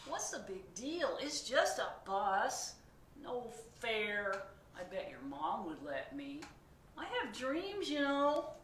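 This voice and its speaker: A woman voicing an excitable, whiny male pigeon who begs and pleads melodramatically in a storybook read-aloud. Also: a little high, slow, voice pushed up, heavily acted. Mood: wheedling. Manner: wheedling, begging, melodramatic. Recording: echoey room, distant mic, faint noise.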